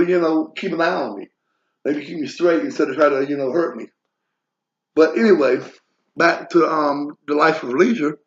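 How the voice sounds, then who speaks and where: A man in his forties talks on in a wandering personal monologue, blunt and full of swearing. His voice sits a little high for a man. The recording is dull and lo-fi. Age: 40s. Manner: blunt, profane, wandering asides. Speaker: vlogger. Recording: lo-fi muffled audio.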